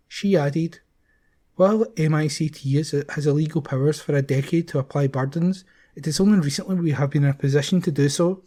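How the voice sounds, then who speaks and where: A man reading newspaper articles aloud in a steady, careful way, now and then restarting a word. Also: a little high. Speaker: volunteer newspaper reader.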